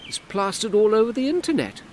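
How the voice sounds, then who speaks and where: A man voicing a brisk, suspicious woman civil servant who answers curtly and defensively. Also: high-pitched, big pitch swings, a little hiss or hum, voice pushed up. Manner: curt, defensive, clipped.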